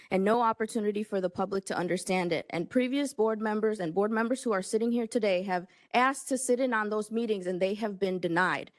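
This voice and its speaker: A woman county board member giving a pointed, forceful prepared statement at a public meeting. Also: mid-range voice, no echo.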